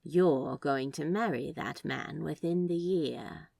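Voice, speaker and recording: Sly, knowing woman acted by a woman reader, unhurried. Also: sits low, vocal fry, darkish tone, no room sound, studio quality, heavily acted. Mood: shrewd.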